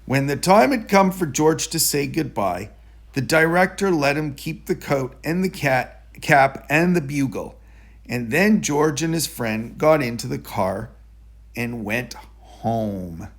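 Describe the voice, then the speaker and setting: A man reading a children's story aloud in an expressive storytelling style. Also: mid-range voice, slow pace. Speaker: storybook reader.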